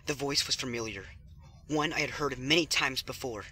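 A man's voice: mid-range voice, moderate pace, sharp tone, slightly rough. A man reading a scripted horror story in a suspenseful, theatrical way. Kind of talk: dramatic scripted horror narration. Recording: music under the reading. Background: background music.

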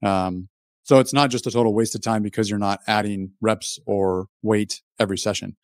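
A man's voice: lowish voice, fairly even intonation, normal speed, dry recording, clean studio sound. A man in his thirties explaining things casually as a guest on a fitness interview. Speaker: fitness YouTuber, podcast guest. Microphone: microphone on a video call.

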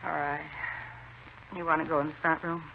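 A woman's voice: low-pitched, little pitch movement, normal speed, creaky, noisy background. A woman playing a weary, subdued middle-aged widow in a 1950s radio drama, on an old muffled recording.

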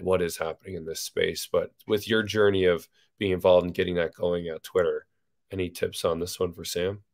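A young man hosting a video call from a room with a bookshelf, attentive and quick to affirm. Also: deep voice. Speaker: host.

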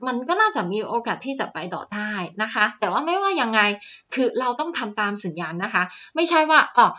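Bright-voiced woman explaining stock charts. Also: fairly high pitch, big pitch swings, a little fast, highs cut off. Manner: chatty, filler-heavy, polite particles. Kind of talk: screen-share chart walkthrough. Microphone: voice-over on screen recording.

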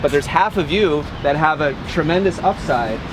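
A man with a slightly high-pitched voice instructs a group as their coach. His phrasing is deliberate and emphatic. Heavy outdoor noise surrounds him. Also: animated. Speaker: tennis coach and clinic host. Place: outdoor public tennis courts. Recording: loud outdoor noise, traffic and ambience.

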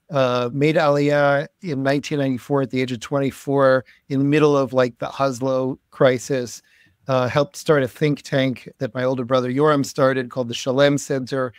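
A man in his fifties, born in the United States, talks over a video call in a dry, close sound. His speech runs as a loose list of life events, broken up by frequent hesitations, at a middle pitch for a man. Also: clean tone, no echo. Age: 50s. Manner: rambling list of life events with many uhs. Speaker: guest, think tank founder. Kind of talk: casual spoken self-introduction. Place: home room.

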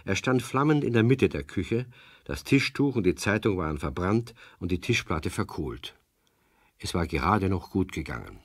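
Deep-voiced, expressive male storyteller. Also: moderate pace, no room sound, clean studio sound. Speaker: narrator. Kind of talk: scripted children's story narration.

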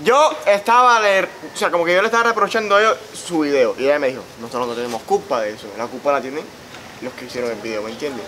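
Young Cuban male, deadpan and casual, slightly high voice, clear street noise behind. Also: fast talker, poor audio. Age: young adult. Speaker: prank caller, co-host. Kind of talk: casual chat.

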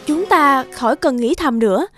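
A woman voicing a vain, proud goddess in an animated myth, haughty and insistent. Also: high-pitched, normal speed. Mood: boastful. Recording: music behind the voice.

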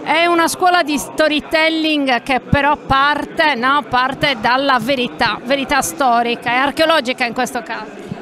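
A woman's voice: high voice, steady tone, moderate pace, hardly any pauses, crowd noise. A woman in her forties interviewing a guest with a handheld mic at a book fair stand, emphatic and repeating key words. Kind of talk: interview questions.

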